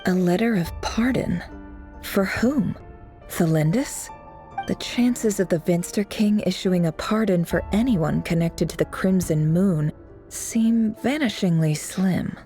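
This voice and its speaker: A woman's voice: fairly low pitch, takes it slowly, dark tone. An actress voicing a thoughtful, inquisitive woman in a video game, with a pensive, questioning manner. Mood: skeptical. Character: thoughtful, inquisitive woman puzzling over mysteries. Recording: game music clearly behind.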